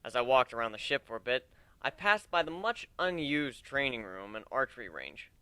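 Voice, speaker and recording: A man's voice: mid-range voice, big pitch swings, moderate pace, bright, forceful tone, smooth, no room sound. A man giving an amateur dramatic reading of a first-person story.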